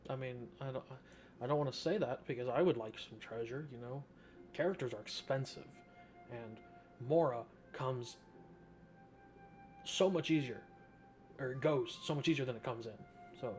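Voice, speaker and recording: A male gaming streamer talks through his playthrough in a mid-pitched voice. He rambles casually and pokes fun at himself, with game music clearly audible behind him. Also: normal speed, long pauses, darkish tone. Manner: self-deprecating, rambling.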